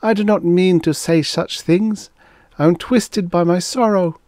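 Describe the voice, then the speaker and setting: A man's voice: on the high side, very animated, slow pace, darkish tone, breathy edge, dry recording, studio quality, voice pushed up, exaggerated voice. A man voicing a flighty, dreamy female alien creature of air, sighing and plaintive, in a story narration. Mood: despairing.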